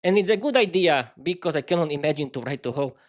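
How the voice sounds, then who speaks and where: Man, slovak accent, non-native english, unscripted solo podcast, halting, many restarts, fairly high voice. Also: lively intonation. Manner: halting, frequent restarts and fillers. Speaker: podcast host.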